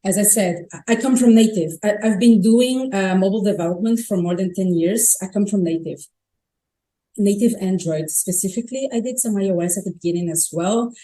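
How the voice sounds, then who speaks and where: Brazilian woman in her thirties, native Portuguese speaker, conference speaker; mellow, mid-pitched, chatty with many restarts. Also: a little fast, rough recording. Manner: chatty, self-correcting, frequent restarts. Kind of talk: tech conference talk. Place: conference stage.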